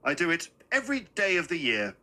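Guy doing a sweet forgetful old man from a story, very polite and flustered, husky, pitched up. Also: wildly swooping pitch, a little fast, bright, forceful tone, exaggerated voice. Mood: gentle, persuasive. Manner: courteous, flustered, old-fashioned pleading. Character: polite, gentle, forgetful little old gentleman.

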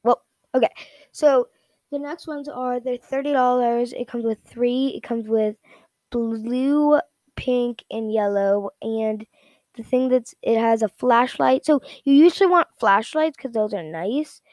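A woman giving a casual, unscripted product rundown in a halting, repetitive, informal way. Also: a little high, darkish tone, no room sound, clean studio sound. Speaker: product reviewer.

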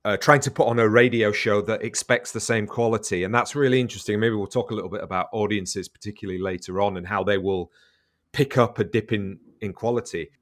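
A man's voice: on the low side, fairly even intonation, fairly quick, no echo, studio quality. A man hosting an interview, talking in a thoughtful, rambling way and repeating words as he thinks. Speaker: podcast host, interviewer. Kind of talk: casual interview chat.

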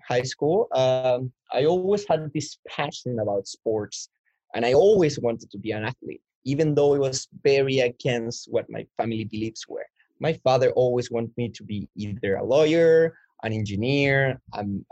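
A man's voice: mid-range voice, a little mellow, dry recording. A man in his twenties from Chile, telling his life story as an interview guest in a rambling way with many restarts. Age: 20s. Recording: dull lo-fi dry sound.

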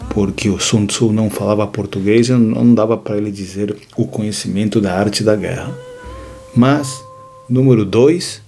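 A man hosting an educational series, explaining ideas in a measured lecture with deliberate, emphatic repetitions. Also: fairly low pitch, mellow. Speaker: host of an educational series on strategy.

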